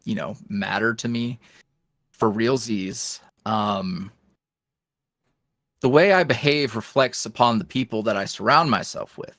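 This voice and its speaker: A man's voice: lowish voice, unhurried, long pauses, a bit bright, dry recording, studio quality. A man chatting casually as a podcast guest, in a folksy, self-deprecating and jokey manner. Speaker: interview guest, online audio roleplay creator.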